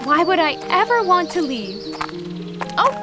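A woman's voice: very high voice, big pitch swings, a little slow, over loud music, exaggerated voice. A young woman playing a sweet, innocent, dreamy fairytale maiden with a lilting, wide-eyed delivery, in an audio drama. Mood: dreamy, delighted.

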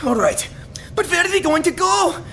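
A woman's voice: very high voice, very animated, normal speed, a bit bright, slight background noise, heavily acted. A woman voicing a greedy, selfish young boy who whines and mocks in a comedic audio drama. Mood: bickering. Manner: whiny, mocking, bossy.

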